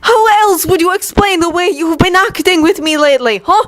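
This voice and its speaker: Lady doing a young guy yelling and near tears, really high and strained. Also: brisk. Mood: furious. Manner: yelling accusations. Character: distraught young man, shouting, near tears. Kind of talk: dramatic story reading.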